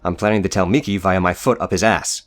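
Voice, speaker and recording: Irritable young male smoker read by a man, low pitch, gruff audio book dialogue. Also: fairly even intonation, fast talker, lightly acted. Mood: irritated.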